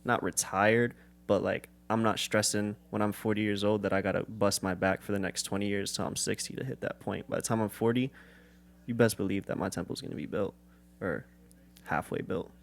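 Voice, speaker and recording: A man's voice: on the low side, fairly even intonation, brisk, creaky. A young man co-hosting a podcast, talking in an earnest, rambling, slangy way. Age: young adult. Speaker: podcast co-host. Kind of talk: casual chat.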